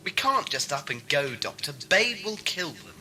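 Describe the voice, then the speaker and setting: An anxious, earnest male companion, as a man acts him with a very bright, sharp voice. He protests urgently in an audio drama, his pitch moving around a lot. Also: big pitch swings, normal speed, a little hiss or hum, exaggerated voice. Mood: urgent, pleading. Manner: urgent, indignant. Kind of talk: fan audio drama acting.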